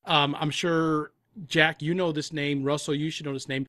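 A man's voice: on the high side, little pitch movement, moderate pace, sharp tone, no room sound, studio quality. A man chatting casually as an interview guest, telling stories with repeated words and asides. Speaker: guest, composer and audio drama creator. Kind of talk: casual chat.

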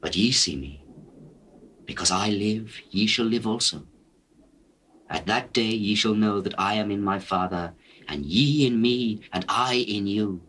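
Casting: grave, gentle master teacher, lowish pitch, stately declamation for dramatized scripture. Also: male voice, husky. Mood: solemn, assured. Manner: measured, solemn, declamatory. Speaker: actor in dramatized Bible recording. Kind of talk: dramatized scripture reading in archaic English.

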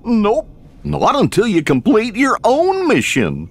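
Goofy, drawling cartoon dog. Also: male voice, sits high, wildly swooping pitch, slow pace, exaggerated voice. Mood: gently cautioning. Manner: folksy, good-natured. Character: goofy, kindly, easygoing male cartoon dog sidekick. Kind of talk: cartoon game acting.

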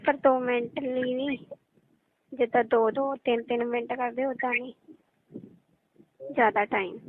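A woman with a Punjabi accent talks in a high voice, chatting casually and privately. The sound is a narrow, tinny telephone call, close and dry with no room around it. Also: fairly even intonation, unhurried, no echo. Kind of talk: intimate casual phone chat.

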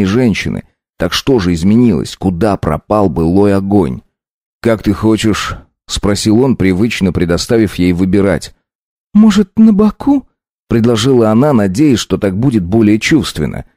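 Male audiobook narrator for fiction, low register, dry studio-like sound. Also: warm tone, no echo.

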